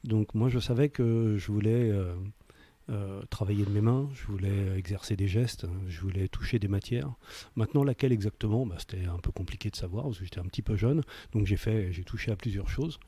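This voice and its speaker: Very mellow, husky older man. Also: on the low side, fairly quick, no room sound. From Paris, France. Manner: hesitant, searching for words, with repeated words. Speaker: interview guest, leather craftsman. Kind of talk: reflective autobiographical interview talk.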